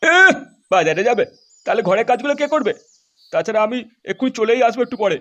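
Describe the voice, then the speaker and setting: A man's voice: extremely high pitch, animated, quick pace, muffled recording. A man voicing a jealous, foul-mouthed husband who bosses his buddies around, brash and threatening, in a crude comedy cartoon. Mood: irritated, evasive.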